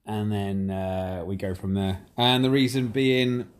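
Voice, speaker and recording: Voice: deep voice, steady tone, measured pace, clean tone. A podcast co-host from the English Midlands, teasing dryly in casual chat.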